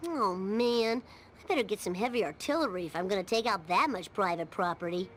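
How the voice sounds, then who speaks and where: A woman voicing a cheeky, brash young boy in an animated comedy game. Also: mid-range voice, brisk, a little hiss or hum, close to an everyday voice. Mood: grumbling. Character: mischievous cartoon boy of about ten, brash slacker.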